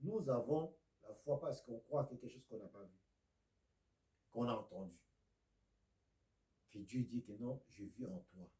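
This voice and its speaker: A man from Congo preaching a video sermon, a speaker of Lingala and Kikongo who swings from loud declaiming to near-whispers. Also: medium pitch, a little slow, pauses often, roomy sound, low-quality recording.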